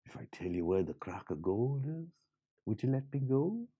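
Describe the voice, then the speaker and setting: A man's voice: sing-song, normal speed, husky, dull audio. A man voicing a tricky, ancient male leprechaun who shrieks and wheedles. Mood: wheedling. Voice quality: shrill squealing. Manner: wheedling and bargaining.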